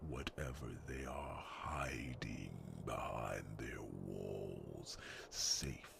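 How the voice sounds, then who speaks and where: Super slow croaky whispery old dragon type, solemn n brooding, asmr fantasy roleplay, hums while thinking. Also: male voice, lively intonation, heavy background noise, heavily acted. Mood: measured concern. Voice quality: very deep, gravelly, breathy whisper. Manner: slow, pondering, formal, with musing hums.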